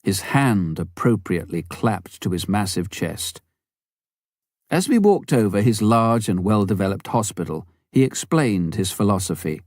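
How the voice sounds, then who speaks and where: A man's voice: fairly low pitch, sing-song, unhurried, a little mellow, no room sound, studio quality. A man narrating a memoir as a scripted audiobook reading.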